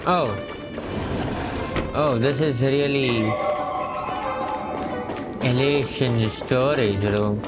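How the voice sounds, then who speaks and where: A man's voice: mid-range voice, very slow, pauses often. A man casually commenting and joking while playing a story game, sometimes reading dialogue aloud. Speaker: gaming streamer. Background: loud game music.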